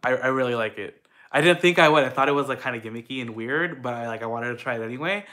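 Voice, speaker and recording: A man's voice: mid-range voice, quick pace, pushed and bright. A young man from the Philippines chatting casually at home, answering viewer questions in an upbeat way with lots of fillers. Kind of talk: casual Q&A chat.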